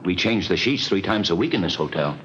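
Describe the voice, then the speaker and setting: A muffled old radio recording features a nosy male hotel clerk. His low voice moves quickly, chatty and pestering, always eager to be of help. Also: lively intonation. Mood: curious. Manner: inquisitive, talkative, pestering. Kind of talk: 1950s radio crime drama acting. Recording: muffled, slightly noisy vintage radio recording.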